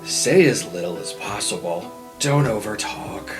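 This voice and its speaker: A man's voice: lowish voice, expressive, a little slow. A man playing a blunt, easygoing young student adventurer in a tabletop game, with a casual, dry manner. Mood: wary, firm. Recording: music playing behind the voice.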